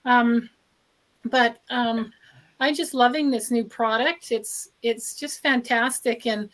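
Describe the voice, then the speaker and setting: A woman's voice: on the high side, fairly even intonation, slow pace, a bit bright, rough recording. A woman on a video call whose voice is going from illness, speaking in an upbeat, earnest way with many ums. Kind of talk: casual testimonial on a group call.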